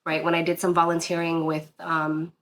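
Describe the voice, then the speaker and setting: A woman's voice: on the low side, completely flat delivery, moderate pace, a bit bright, a little hiss or hum. A woman from Northern Virginia, a DJ guest, telling a personal story in a casual interview, earnest and rambling with many fillers and restarts.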